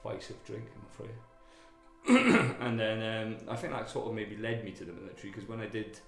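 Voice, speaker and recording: A man from Wales, a guest telling his own story in a candid interview, halting, with many fillers and restarts. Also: lowish voice, vocal fry. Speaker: guest, army veteran. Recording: echoey room with music behind.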